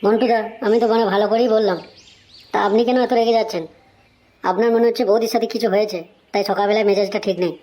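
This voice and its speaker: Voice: mid-range voice, fairly even intonation, fast, background noise, close to an everyday voice. A performer voicing a gossipy young male sidekick in a comedy cartoon, agreeable and teasing. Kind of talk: amateur comedy cartoon dialogue.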